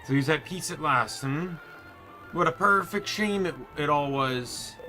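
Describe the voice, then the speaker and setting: A man plays a refined servant of a fallen empire in a fantasy game. The delivery is formal and polite, with a bright, forceful tone over soft music. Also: on the high side, animated, a little slow. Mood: wistful. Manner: formal, courteous.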